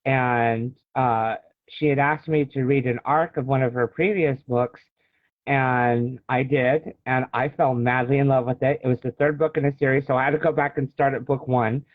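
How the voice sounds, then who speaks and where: A man's voice: medium pitch, fairly even intonation, normal speed, no echo. An American man in his early forties, an author chatting casually as the guest in an interview. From Illinois, United States. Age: early 40s. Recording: thin, phone-like video call sound.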